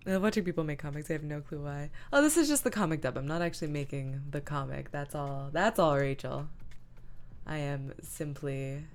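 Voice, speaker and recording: A woman hosting a livestream, casually talking through her computer work and half muttering to herself, with soft music behind her. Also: sits low, brisk. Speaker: livestream host. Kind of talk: casual livestream chat narrating image editing. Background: lo-fi music, computer keyboard typing.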